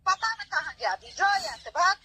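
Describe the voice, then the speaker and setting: A woman's voice: extremely high pitch, sing-song, normal speed, bright, forceful tone, no echo. A middle-aged woman with a Bhojpuri accent, a mother scolding and brushing off the other person on a phone call. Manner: scolding, dismissive. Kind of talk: phone argument.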